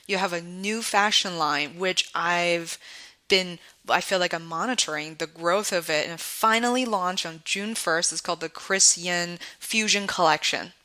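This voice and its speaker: A woman's voice: lowish voice, moderate pace, pushed and bright. A woman podcast host with a Cantonese accent, chatty and enthusiastic as she questions her guest. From China. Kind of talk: casual interview chat.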